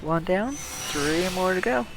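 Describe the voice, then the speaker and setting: A woman streams a game with casual, off-the-cuff commentary. She talks slowly, half to herself, reacting aloud as she goes, with soft music playing behind her. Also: on the low side, animated, poor audio. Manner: reacts aloud, muttering to self.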